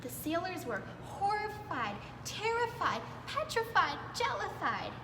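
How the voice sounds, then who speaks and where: A woman reading aloud to young children in a playful, expressive way, dwelling on words for emphasis. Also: very high voice, a little slow. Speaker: preschool storytime reader. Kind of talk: animated children's read-aloud. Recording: echoey reverberant room with clear background noise.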